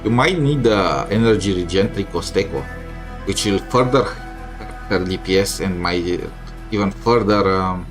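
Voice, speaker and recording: A man with a fairly low voice talks through his video game in a casual way. He thinks aloud and grumbles a little as he goes, with game music clearly playing behind him. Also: measured pace. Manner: thinks aloud, mildly complaining. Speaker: gamer streaming a playthrough. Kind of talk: casual gameplay commentary.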